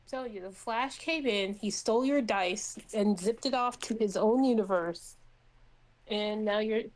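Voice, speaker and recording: A woman's voice: mid-range voice, measured pace, rough recording. A woman chatting casually as a player in a tabletop game, teasing and joking with the others. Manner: teasing, giggly.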